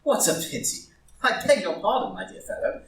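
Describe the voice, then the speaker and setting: A man playing a languid, witty gentleman and loyal friend, speaking with urbane formality in a live play reading. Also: sits high, huge pitch swings, moderate pace, exaggerated voice. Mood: urbane, wry. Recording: roomy echo, far from the mic.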